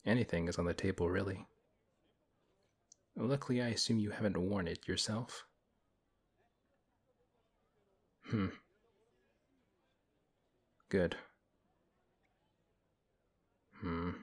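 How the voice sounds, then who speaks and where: A man playing a big, principled, gently protective paladin in a fantasy audio roleplay, speaking in a measured, earnest way with hesitant pauses and a husky voice. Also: low voice. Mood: calm, wary. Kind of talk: fantasy audio roleplay, one-sided intimate conversation.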